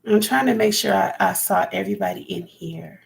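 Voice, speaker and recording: A raspy-voiced woman chatting loosely to her viewers on a live stream, addressing them by name as she rambles along. Her voice carries a strong gravelly fry at a middle pitch. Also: brisk. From California. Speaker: homesteading vlogger.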